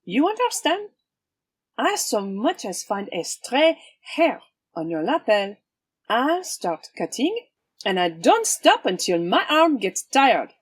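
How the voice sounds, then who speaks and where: Woman as a slow, wry swashbuckling heroine. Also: fairly high pitch, very animated, husky, dry recording, heavily acted. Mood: cool menace. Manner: wry, commanding. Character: haughty French swashbuckling heroine, cool, wry and threatening. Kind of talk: audio drama acting.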